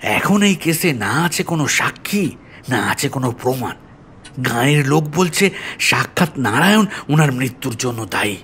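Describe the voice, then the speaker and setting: A man's voice: medium pitch, sing-song, moderate pace, fairly bright tone, a little hiss or hum. A man playing an earnest, deferential police officer in an audio story, explaining things politely and seriously. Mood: grave.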